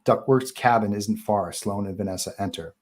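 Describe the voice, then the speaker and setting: A man's voice: lowish voice, normal speed. A man narrating stage directions at a live table read of a horror screenplay, in scripted narration style.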